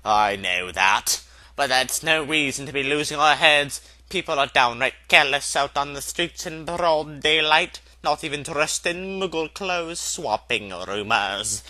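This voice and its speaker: Storybook witch professor, older woman, played by a man: stern, impatient, exasperated, scolding, voice lifted high and bright. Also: expressive, a little slow, no echo, full character voice, rough recording. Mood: anxious, stern.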